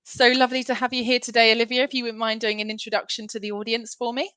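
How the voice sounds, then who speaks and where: A woman's voice: fairly high pitch, flat delivery, fast talker, fairly bright tone, smooth, dry recording. A woman hosting an online panel, upbeat and encouraging as she brings in guests. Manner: upbeat, encouraging, laughs often. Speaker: event host. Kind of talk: webinar hosting.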